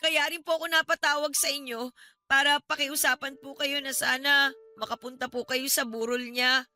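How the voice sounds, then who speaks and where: A woman's voice: high voice, fairly even intonation, normal speed, pushed and bright, soft background music. A woman playing a hurt young student daughter in a Filipino radio drama, pleading earnestly and respectfully but insistently.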